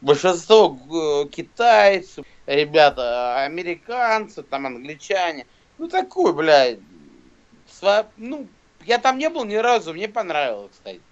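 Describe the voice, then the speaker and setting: Thirties man, slangy and rambling, pitched a bit high, dull lo-fi call audio. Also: sing-song, measured pace. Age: 30s. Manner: slangy, swearing, rambling with many fillers. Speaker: rapper and guest. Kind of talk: casual video call chat. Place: indoors, small room. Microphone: phone camera close to face.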